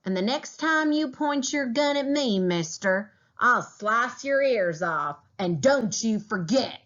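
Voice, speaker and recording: A menacing frontier man leading a wagon trail, as acted out by a woman reading a storybook. The voice is raised well up in pitch, with angry, emphatic threats. The recording is a little dull. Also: expressive, slow, bright, forceful tone, full character voice. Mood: furious, threatening. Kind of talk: children's historical novel read aloud.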